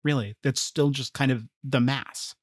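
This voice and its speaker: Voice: mid-range voice, fairly even intonation, moderate pace, no room sound, studio quality. A podcast co-host chatting casually about fandom in a playful, emphatic way. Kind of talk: casual fan podcast chat.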